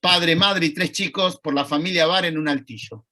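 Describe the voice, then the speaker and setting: A man with an Argentine accent giving an online talk, conversational and thoughtful, pausing to search for words. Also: a little high, sharp tone. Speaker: lecturer. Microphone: video call.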